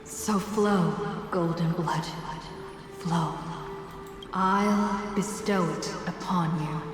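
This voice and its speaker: A woman's voice: on the low side, fairly even intonation, very slow. A woman playing a solemn, benevolent godlike deliverer in a video game, speaking ceremonially. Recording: very echoey hall reverb, music behind.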